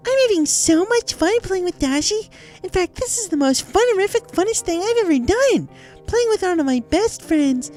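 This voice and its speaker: Shrill, manic, giddy killer pony. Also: female voice, animated, moderate pace, a little mellow, soft background music. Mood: manic glee. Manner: giddy, sing-song, menacing. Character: manic, childishly cheerful, murderous young female pony. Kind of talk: dramatized fan fiction journal reading.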